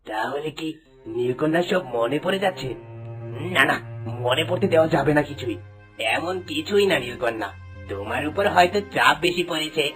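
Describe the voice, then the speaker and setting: Man acting scheming demon husband, slightly high voice. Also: animated, moderate pace, fairly bright tone, faint music behind. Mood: sinister scheming. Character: scheming male demon husband, falsely soothing. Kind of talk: fairy-tale cartoon voice acting.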